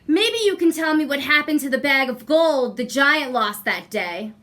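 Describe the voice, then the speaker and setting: A woman voicing a giant's tall wife in a storybook, wry, kindly and no-nonsense, gently scolding. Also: high-pitched, animated, normal speed, pitched above the performer's own voice. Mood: wry, suspicious.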